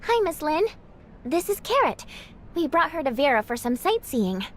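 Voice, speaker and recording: A gentle young companion in an anime game. Her voice is high and expressive in pitch, yet composed, unhurried and reassuring. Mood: friendly.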